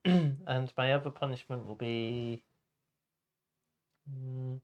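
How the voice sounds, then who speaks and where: Slow, halting man co-hosting a show. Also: mid-range voice, fairly even intonation, darkish tone, dull audio. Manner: halting, repeats words, clears throat. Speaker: show co-host. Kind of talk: casual show presenting.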